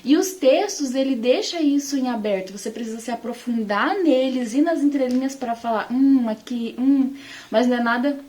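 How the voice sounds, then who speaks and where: A woman's voice: high-pitched, normal speed, warm tone. Brazilian woman giving informal Bible teaching. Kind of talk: informal Bible teaching talk to online followers.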